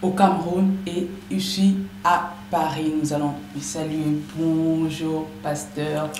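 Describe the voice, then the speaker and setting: A woman's voice: low voice, little pitch movement, slow pace, darkish tone. Polite female TV host. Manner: polite, formal, light laughter. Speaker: TV show host. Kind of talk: interview hosting. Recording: echoey room with background noise.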